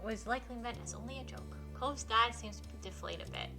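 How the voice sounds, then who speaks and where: A woman's voice: on the high side, brisk, bright, forceful tone, faint music behind. A woman live streamer reading a visual novel aloud expressively and chatting casually, with enthusiastic, gushing reactions.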